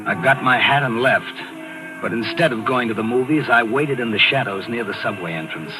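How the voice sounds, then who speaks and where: A man's voice: medium pitch, moderate pace, lightly acted, low-quality recording. An American actor playing a bitter, jealous husband narrating his story in a controlled, clipped way in a 1940s radio mystery. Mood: brooding. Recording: old radio broadcast with loud music.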